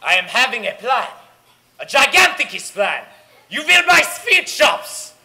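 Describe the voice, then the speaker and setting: A woman's voice: a little high, very animated, measured pace, vocal fry, bright, forceful tone, a faint crowd, heavily acted. A woman playing a tyrannical, gleefully cruel grand witch in a theatrical drama, speaking imperiously in foreign-sounding broken English. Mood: scheming glee. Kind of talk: theatrical villain monologue.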